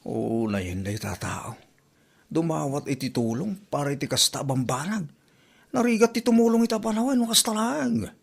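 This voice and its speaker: A man's voice: on the high side, animated, moderate pace, gravelly, husky, full character voice. A man acting a gossipy, disapproving village husband in an Ilocano comedy drama, with a Filipino Ilocano accent.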